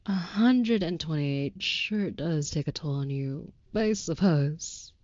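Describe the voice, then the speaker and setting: A woman voicing a devoted, youthful but centuries-old vampire lover in an intimate audio roleplay, speaking softly with breathy, tender, archaic formality. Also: low voice, expressive, takes it slowly, no room sound, highs cut off. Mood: courtly, playful.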